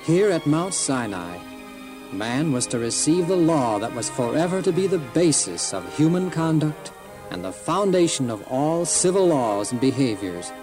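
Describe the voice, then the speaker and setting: Man, solemn narrator, stately delivery, slightly high voice, music behind. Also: very animated, normal speed. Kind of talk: solemn scripted storybook narration.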